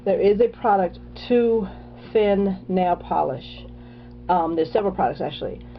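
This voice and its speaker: A woman's voice: mid-range voice, expressive, unhurried, lo-fi sound, slight background noise. A woman casually talking through her nail care products, chatty, with many fillers and restarts. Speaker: beauty vlogger.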